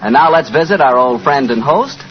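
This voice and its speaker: An American man announcing and selling on 1940s radio in a folksy, conversational style, with a husky voice. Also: medium pitch, animated, normal speed, soft background music. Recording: muffled 1940s radio recording.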